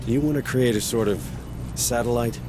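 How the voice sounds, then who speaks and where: Man acting a blunt Marine sergeant over loud music. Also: medium pitch, brisk, natural voice. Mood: blunt, frustrated. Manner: blunt, sardonic military talk. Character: gruff, blunt, stubborn Marine sergeant under pressure. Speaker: video game voice actor. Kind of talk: cinematic game dialogue.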